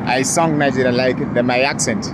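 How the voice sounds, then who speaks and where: A man's voice: medium pitch, steady tone, fairly quick. A man in his thirties from Ivory Coast, a French speaker with a West African accent, answering casually and earnestly with repeated phrases. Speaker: interview guest. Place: outdoors by a parked car in town. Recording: noisy outdoor street recording.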